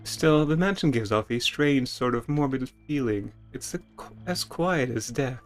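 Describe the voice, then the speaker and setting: A man's voice: mid-range voice, animated, normal speed. A man streaming a dramatic, theatrical reading of game text, with casual asides to viewers. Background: music.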